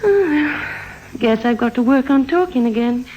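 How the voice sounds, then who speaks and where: An actress playing a coquettish, wheedling lover, flirtatious and teasing, in a 1950s radio drama. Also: female voice, on the high side, expressive, moderate pace, darkish tone, slight background noise, natural voice. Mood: coaxing. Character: coquettish, wheedling female lover with an eye for money. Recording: dull old radio recording.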